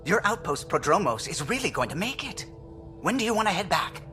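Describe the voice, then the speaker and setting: Male alien pilot, chirpy and eager, highish voice with animated swings, loud score behind. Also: fairly quick, faint music behind, full character voice. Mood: eager, playful. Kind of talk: video game cutscene acting.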